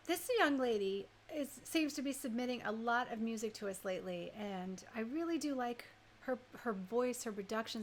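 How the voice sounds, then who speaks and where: A woman hosting an online radio music show, chatting informally and effusively with her listeners. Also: on the high side. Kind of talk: casual livestream music show chat.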